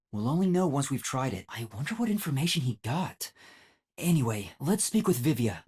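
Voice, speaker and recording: A man's voice: medium pitch, a little mellow, husky. A man voicing a timid, earnest young male detective trainee in a video game, hesitant and thinking aloud. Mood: focused.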